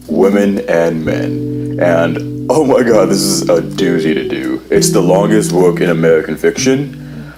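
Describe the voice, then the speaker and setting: A man giving a casual book review to camera, rambling with lots of fillers and restarts, his voice worn low from a day of talking. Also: rough recording. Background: guitar music.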